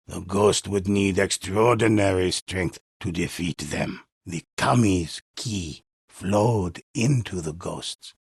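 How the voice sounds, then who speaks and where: An actor playing a grave old male storyteller in a video game, telling ghost legends in a solemn, ominous, measured way with a gravelly, husky voice. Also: low-pitched, no echo, studio quality, exaggerated voice. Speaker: narrator.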